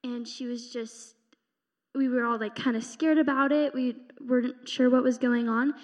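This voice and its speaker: A teenage girl with a fairly high voice shares stories at a church service in a chatty, upbeat manner. Her voice carries a long reverberant hall tail. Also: steady tone, normal speed. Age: teens. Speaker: teen speaker at youth service. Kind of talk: church testimony talk. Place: church sanctuary.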